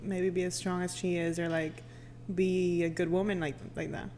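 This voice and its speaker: A woman's voice: on the low side, level tone, normal speed, a little mellow. A woman who works in radio, chatting casually as a guest on a podcast. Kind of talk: casual chat. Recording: noisy room ambience.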